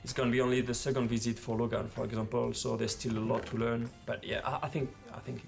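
Gravelly-voiced man giving interview answers. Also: mid-range voice, little pitch movement, fast talker, faint music behind.

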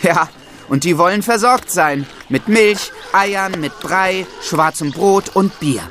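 A man's voice: high voice, slow, fairly bright tone, slight background noise. A young man playing an eager, proud medieval squire who explains things to visiting children in an audio drama. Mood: explaining. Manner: explanatory, enumerating.

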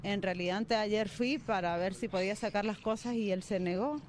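A woman in her thirties with an Argentine accent, answering a reporter's questions on location in a soft, halting way and repeating her words. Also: mid-range voice, a little hiss or hum. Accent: Argentine Spanish. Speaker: interviewee. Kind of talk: on-location news interview. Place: outdoors by a brick shack, then a small room. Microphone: handheld reporter's mic.

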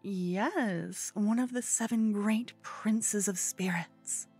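A woman playing a cute, obsessed con-woman fangirl in a comedic ASMR roleplay, soft, often whispery, eager and cheekily salesy. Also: mid-range voice, husky. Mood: earnest, defensive. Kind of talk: ASMR roleplay, comedic one-sided dialogue.

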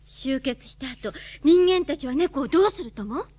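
A woman's voice: high voice, animated, moderate pace, darkish tone. A woman playing an earnest, impulsive young heroine in a radio drama, speaking in a girlish, colloquial way. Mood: concerned. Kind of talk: radio drama acting and first-person narration.

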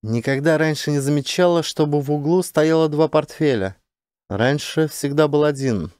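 Man putting on a tense, high-pitched woman commander. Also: normal speed, a little mellow, clear, resonant tone, dry recording, studio quality, close to an everyday voice. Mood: uneasy.

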